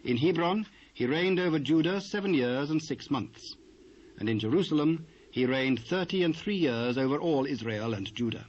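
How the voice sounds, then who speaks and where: A British man narrating a dramatised Bible reading in a measured, formal manner. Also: fairly high pitch, animated. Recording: dull, noisy old cassette.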